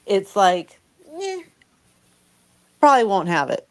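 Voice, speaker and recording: A woman's voice: mid-range voice, sing-song, slow. A woman talking casually and bluntly as a co-host on a live stream, unfiltered in her way of speaking. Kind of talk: casual chat.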